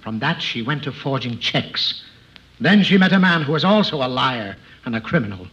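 A man's voice: mid-range voice, lively intonation, normal speed, a bit bright, close to an everyday voice. An actor playing an exasperated, fussy, scolding father in a 1950s radio comedy. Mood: stern storytelling. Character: exasperated, scolding father of a naughty little girl. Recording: dull, noisy old radio recording.

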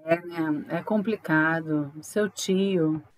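A woman's voice: low-pitched, takes it slowly. A woman playing a concerned, questioning love interest in an audio drama, coaxing and inquisitive.

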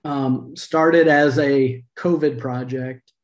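A man's voice: medium pitch, fairly even intonation, slow pace, muffled recording. A middle-aged man hosting a video-call interview, talking earnestly with a few fillers. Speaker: podcast host. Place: home room, indoors. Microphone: video call webcam.

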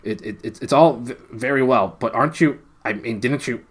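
A man's voice: mid-range voice, very slow, breathy edge, pitched above the performer's own voice. A man reading aloud, voicing a nervous schoolboy who stammers in a raised, strained voice. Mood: flustered.

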